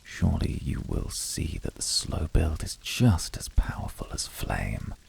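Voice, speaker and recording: A man voicing a composed, poetic, devoted incubus in a flirty ASMR roleplay, speaking formally in a measured, seductive, often whispery way with a raspy, breathy voice. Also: very deep voice, big pitch swings, darkish tone, heavily acted. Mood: seductive, tender. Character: composed, poetic, devoted male incubus of calm, icy temper.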